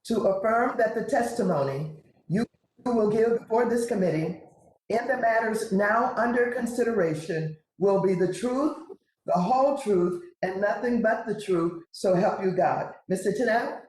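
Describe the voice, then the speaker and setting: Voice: mid-range voice, normal speed, darkish tone, echoey room, slight background noise, rough recording. A committee chair leading a formal hearing, calling names and administering an oath in a firm, procedural way.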